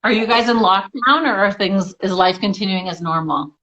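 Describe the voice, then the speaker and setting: A woman's voice: mid-range voice, normal speed, sharp tone, lo-fi sound. A woman hosting a casual online interview, chatty and friendly, with frequent ums and uhs. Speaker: interview host.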